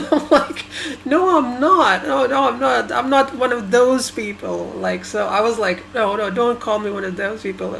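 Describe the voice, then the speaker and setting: A woman's voice: medium pitch, vocal fry, a bit bright, low-quality recording. Hesitant woman vlogging over background noise. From Sri Lanka. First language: Tamil. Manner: halting, hesitant, many restarts and fillers. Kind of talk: personal reflective monologue.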